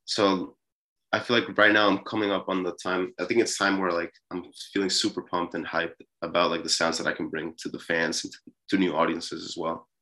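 Bright-voiced young man, low and rambling. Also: fairly quick, low-quality recording. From Peru. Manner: relaxed, rambling, many fillers. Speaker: musician guest. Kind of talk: casual interview talk.

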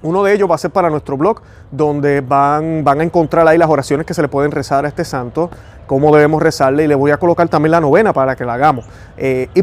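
Fast-talking Puerto Rican man. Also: a little high, darkish tone. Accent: Puerto Rican Spanish. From Puerto Rico. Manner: fast-talking, earnest, prayerful. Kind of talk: devotional talk and prayer.

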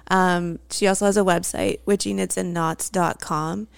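A woman co-hosting a casual podcast, chatty and quick to laugh. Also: lowish voice, steady tone, vocal fry, clean tone, no room sound. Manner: giggly, chatty. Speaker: podcast co-host.

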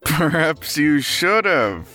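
A man's voice: fairly high pitch, very animated, slow, pushed and bright, soft background music, full character voice. A man playing an affable, slightly fussy and flustered husband hosting guests in an audio drama. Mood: polite, flustered.

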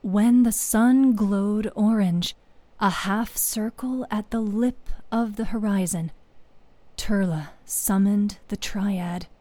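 Slow, mellow woman storyteller, solemn. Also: mid-range voice, no echo. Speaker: storyteller, narrator. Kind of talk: dramatic scripted fantasy narration.

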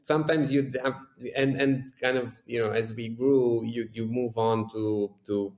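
Romanian founder, 30s, halting and wry, echoey room sound. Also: male voice, mid-range voice, fairly even intonation, a little mellow. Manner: hesitant, many restarts, dry humor. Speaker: startup founder, guest. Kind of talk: casual interview answers.

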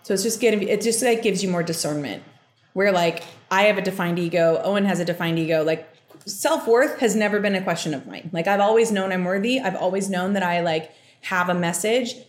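A young adult woman hosting a podcast, explaining a reading in a casual, chatty way, with frequent fillers and quick asides. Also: medium pitch. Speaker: podcast host giving a reading. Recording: roomy echo.

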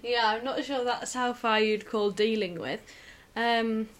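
A woman's voice: a little high, fairly even intonation, unhurried, fairly bright tone. A young woman, hosting a podcast at home and chatting with a friend in a rambling, laughing way. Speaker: podcast host. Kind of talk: casual chat between friends. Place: shared home.

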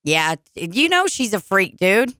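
Woman, crude, swearing, bright tone, lively pitch, casual chat. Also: measured pace, no echo, studio quality. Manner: crude, loud, swears often.

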